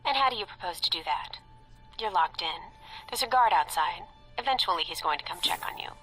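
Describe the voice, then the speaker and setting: A woman's voice: lowish voice, expressive, a little fast, pushed and bright, faint music behind, rough recording. An actress playing a guarded, matter-of-fact young woman agent in a video game, clipped and cool. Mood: cool, businesslike.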